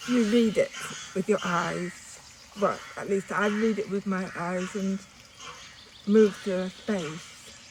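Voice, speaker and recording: A woman speaking reflectively and haltingly, with pauses, as in a poetic audio documentary. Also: mid-range voice, noisy background. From northern England. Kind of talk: reflective, unscripted documentary talk with poetic passages.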